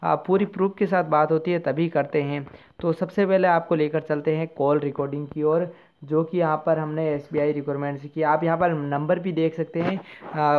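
Phone-like, flat-pitched Indian man. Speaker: host of a job-news channel. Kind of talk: informal explanatory voice-over addressed to viewers. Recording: muffled phone-like lo-fi sound.

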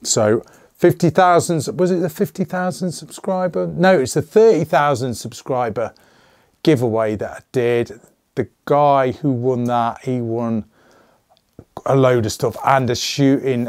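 A middle-aged man with a slightly hoarse voice, hosting a casual channel update and rambling with jokey asides. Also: medium pitch, darkish tone, no echo. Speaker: channel host. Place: small indoor gun room.

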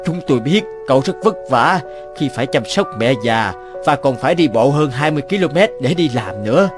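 A man's voice: medium pitch, lively intonation, brisk, close to an everyday voice. A man playing a kindly middle-aged garage boss in a cartoon, considerate and plain-spoken. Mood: warm, encouraging. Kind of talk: cartoon dubbing. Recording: music behind the voice.

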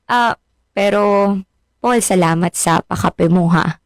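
A woman's voice: on the low side, slow pace. A woman acting a friendly young woman in a radio drama. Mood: playful.

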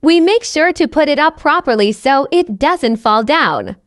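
High-pitched woman narrating a simple lesson. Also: animated, unhurried, dry recording, clean studio sound. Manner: carefully enunciated, repeats sentences identically. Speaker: narrator of English learning stories. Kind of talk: scripted slow narration for language learners.

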